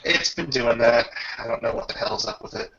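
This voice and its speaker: A man narrating a tabletop game as game master in a halting way, often pausing mid-sentence, on a dull home microphone. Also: mid-range voice, sharp tone, a little hiss or hum.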